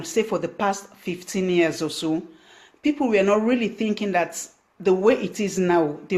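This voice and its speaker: A woman's voice: on the low side, darkish tone. A woman from Sierra Leone with a Sierra Leonean accent, speaking English as a second language, giving business tips on a live stream and stopping to thank viewers by name. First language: Krio. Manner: thanks viewers by name, many fillers and restarts. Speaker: online business coach. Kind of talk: Facebook live stream talk.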